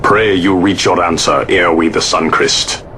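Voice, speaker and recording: An actor playing a grave, honor-bound older sky pirate in a video game cutscene, speaking in formal, old-fashioned phrases. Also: male voice, deep voice, steady tone, measured pace, noisy background. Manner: formal, archaic speech. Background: game score music.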